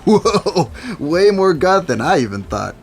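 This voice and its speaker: A man's voice: sits high, sing-song, a little slow, creaky, slightly rough, full character voice. A man playing a young record store clerk who loves goth music, with a playful, teasing way of talking. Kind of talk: audio drama acting.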